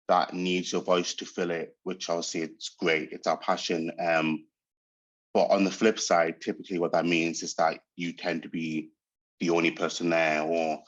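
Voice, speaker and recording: A man reflects on his path as an actor, pausing often to search for words. He grew up speaking Portuguese first. The sound is dull and muffled. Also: sits low. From Angola. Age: 30s. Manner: thoughtful, hesitant, searching for words. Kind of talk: reflective interview monologue.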